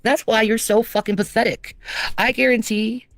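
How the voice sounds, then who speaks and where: A man's voice: sits high, flat delivery, normal speed, fairly bright tone, dry recording. A man delivering a personal online rant, scolding and emphatic, hammering phrases by repeating them.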